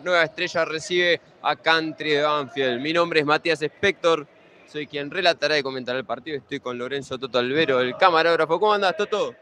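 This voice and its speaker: A man's voice: on the high side, fairly quick, bright, forceful tone, slight background noise. Young Argentine man calling futsal. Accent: Argentine Spanish. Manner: conversational, reels off standings and lineups. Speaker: match commentator. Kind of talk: pre-match sports commentary.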